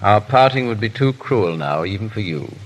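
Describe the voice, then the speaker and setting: A man's voice: fairly low pitch, lively intonation, moderate pace. A man acting a suave, foppish English aristocrat hero in a 1930s radio drama, drawling and theatrically polite. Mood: tender, solemn. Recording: reverberant 1930s radio broadcast.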